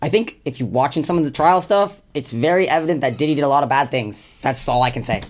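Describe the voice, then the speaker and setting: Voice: medium pitch, fast talker. A music artist from Canada with a Canadian accent, chatting casually in an interview in an earnest, talkative way. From Hamilton, Canada. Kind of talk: casual chat.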